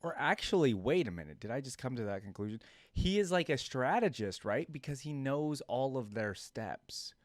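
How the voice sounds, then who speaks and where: A man's voice: mid-range voice, lively intonation, normal speed, hardly any pauses, no room sound, clean studio sound. A man reacting casually to game voice lines, thinking aloud and often trailing off. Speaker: reaction youtuber.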